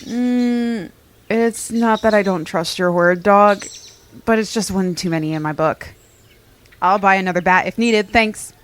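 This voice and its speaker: A woman's voice: medium pitch, a little slow, natural voice. A woman playing a blunt, wary young friend with a wry, skeptical way of talking in an audio drama. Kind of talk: acted audio drama dialogue.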